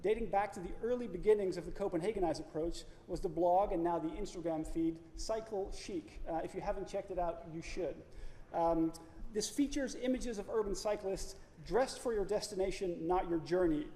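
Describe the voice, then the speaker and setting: A Dutch-accented man gives a dry, wry introduction in a lecture auditorium, his voice fairly high and mellow. He speaks in a measured way, pausing on ums and uhs. The hall adds a long reverb and faint background noise. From Netherlands.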